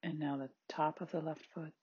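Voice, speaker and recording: A meditation guide with a husky, breathy, androgynous voice, giving gentle, unhurried body scan instructions in short spaced phrases. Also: mid-range voice, level tone, no echo, lo-fi sound. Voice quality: very husky, breathy, at times near-whispered.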